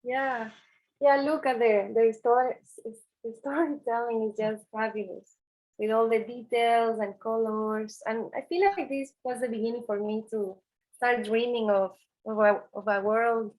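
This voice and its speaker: A woman speaks in a fairly high voice. She answers earnestly, often restarting her sentences and filling pauses with "eh". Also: fairly even intonation, a little mellow, rough recording. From Ecuador. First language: Spanish. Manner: earnest, many restarts and "eh" fillers. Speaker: artist guest. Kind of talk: interview answers.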